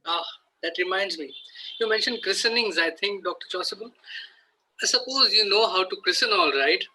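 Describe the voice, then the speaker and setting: A man playing an evasive, easily exasperated Victorian country gentleman in a play read-through, polite but fussy. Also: high voice, moderate pace, slight background noise, low-quality recording. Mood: offhand. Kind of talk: amateur play table read.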